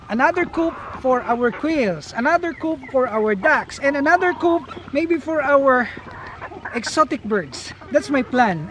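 A man's voice: very high voice, background noise. A middle-aged man, a farm vlogger, giving an upbeat casual tour of his outdoor farmyard and coop while explaining to viewers.

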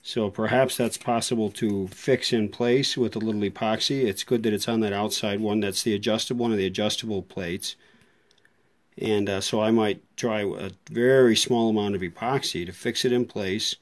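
Low-voiced man talking through a repair. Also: fairly even intonation, normal speed. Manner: thinking aloud, informal, tentative while inspecting. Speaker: vintage radio restorer, video host. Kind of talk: casual hands-on demonstration narration.

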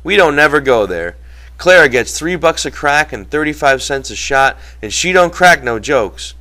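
A man's voice: a little high, sing-song, normal speed, sharp tone, pitched above the performer's own voice. A man voicing a brash, gossipy young ranch hand with folksy, slangy rural speech in an audiobook. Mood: chatty.

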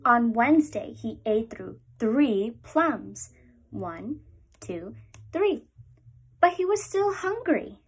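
A young woman, a preschool teacher, reading a picture book aloud to small children at home in a gentle, animated storytime voice. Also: on the high side, slow pace, husky. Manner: gentle, animated storytime voice, lifts up on repeated lines. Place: small room at home, indoors.

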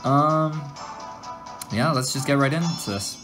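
A woman's voice: rumbling low, a little slow, fairly bright tone. A woman giving casual let's-play commentary as host, giggly and easily distracted with frequent exclamations. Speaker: gameplay host. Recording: game music behind the voice. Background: video game music.

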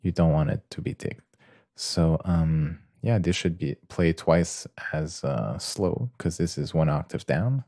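A man presenting a casual software tutorial in a hesitant, halting way with many pauses. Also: rumbling low, no echo.

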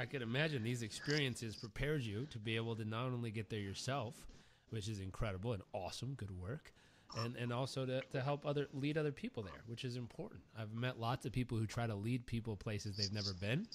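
A man's voice: medium pitch, fairly quick. A man with a mid-range voice hosting a podcast, chatting with enthusiasm and drifting into long anecdotes. He often stumbles and repeats a word before getting going. A faint hiss sits under his voice. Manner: enthusiastic, rambling, repeats words when starting. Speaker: podcast host. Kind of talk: casual interview chat, storytelling.

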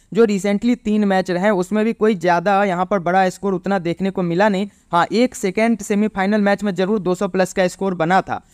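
A man presenting an explanatory cricket pitch report as a voice-over. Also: clear, resonant tone, dry recording, studio quality.

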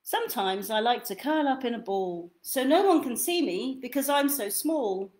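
A young woman reading picture books aloud to children in an expressive, theatrical way, drawing out key words. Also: a little high. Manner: theatrical, deliberate, drawn-out delivery of key words. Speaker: children's storytime reader. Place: small indoor room at home.

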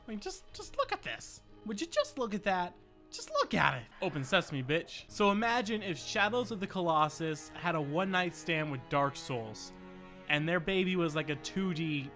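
A man hosting a let's-play, commenting excitedly and swearing freely, often repeating phrases in quick bursts. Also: a little high. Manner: foul-mouthed, exclamatory, repeats phrases in bursts. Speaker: gaming video host.

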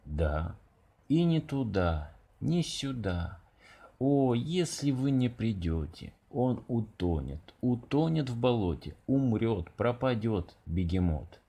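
A frantic rhinoceros from a children's storybook, put on by a man. It calls urgently for help in a husky voice with sharply rising and falling pitch. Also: sing-song, slow pace, dark tone, full character voice. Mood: alarmed. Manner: alarmed, urgent.

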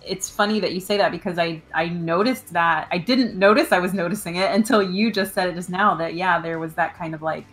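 A woman's voice: lowish voice, fairly quick, hardly any pauses, a bit bright, faint music behind. A young woman co-hosting a podcast, talking casually and agreeably. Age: young adult. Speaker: podcast co-host. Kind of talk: casual chat. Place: room at home.

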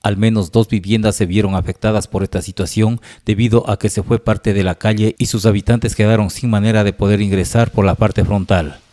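Guy doing news voiceover, low pitch, dark mellow tone, formal. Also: steady tone, brisk, hardly any pauses. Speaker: news reporter. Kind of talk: scripted news narration.

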